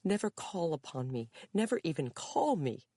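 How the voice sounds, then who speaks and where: A woman's voice: deep voice, very animated, moderate pace, dark tone, slightly rough, dry recording, clean studio sound, full character voice. A woman reading aloud, voicing a distraught mother who sobs and blames herself. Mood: demanding. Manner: sobbing, anguished. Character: distraught mother, sobbing, self-blaming.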